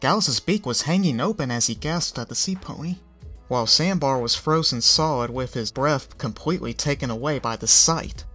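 A man reading a story aloud in a steady, even narration. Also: medium pitch. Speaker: fanfic reader. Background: faint music.

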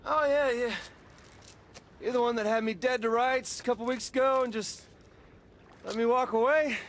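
A man's voice: extremely high pitch, steady tone, normal speed, a bit bright, a little hiss or hum. A young man with an American accent acting a cocky, sarcastic, wisecracking superpowered rebel in a video game. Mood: flippant bluffing. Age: young adult. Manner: flippant, sarcastic.

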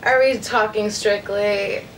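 A woman in her twenties giving casual, somewhat halting and self-effacing answers as an interview guest. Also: medium pitch, fairly even intonation. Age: 20s. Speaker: interview guest, radio station creative director. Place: room with couches, amps and instruments. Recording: echoey room, distant mic, faint noise.

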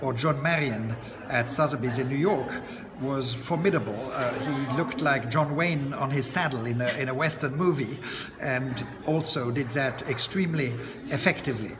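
A man in his sixties, an auctioneer, answering interview questions in a relaxed, chatty way with frequent "uh" pauses and light chuckles, filmed up close in an indoor lounge by large windows. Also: mid-range voice, noisy background. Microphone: camera close to his face. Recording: long echo, loud crowd chatter behind.

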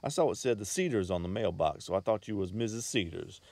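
A man's voice: on the low side, animated, quick pace, vocal fry, darkish tone, dry recording. A man voicing a cheerful, earnest young country Bible salesman with a folksy, wheedling way of talking.

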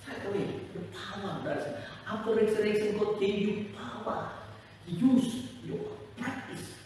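A middle-aged pastor, a native Indonesian speaker, preaches slowly in a high voice, leaning on each phrase. He swings between quiet asides and loud rises as he paces and gestures. He sounds distant from the mic in a big reverberant community hall. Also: animated, slight background noise. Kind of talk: sermon. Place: large bare community hall, indoors. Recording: echoey hall, voice far from the mic.

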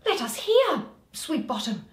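A woman's voice: high-pitched, very animated, unhurried, voice pushed up. A woman performing an earnest, exclaiming male carpenter who leads amateur players in a Shakespeare comedy. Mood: elated. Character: anxious, earnest Athenian carpenter leading amateur players, Quince. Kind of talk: Shakespeare read aloud as solo performance. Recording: roomy home recording.

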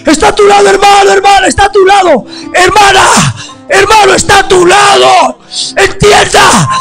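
Impassioned high-voiced male preacher, shouting. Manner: impassioned, often shouting, drawn-out phrases. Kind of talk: fervent altar call sermon.

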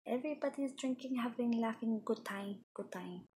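A woman's voice: a little high, moderate pace, background noise, natural voice. A woman putting on a boastful, crude foreign entertainer persona with a put-on foreign accent, rambling and slipping into half-whispers in an improvised comedy monologue.

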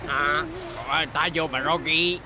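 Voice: medium pitch, fairly even intonation, slow, background noise, natural voice. An actor voicing a mischievous talking sports car in a dubbed children's cartoon. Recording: music behind the voice.

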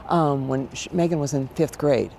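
A woman in her sixties with an American accent and a low, gravelly voice, answering conversationally as an interview guest. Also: normal speed, warm tone, slight background noise. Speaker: event director, interview guest. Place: large exhibition hall, indoors. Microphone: lapel mic.

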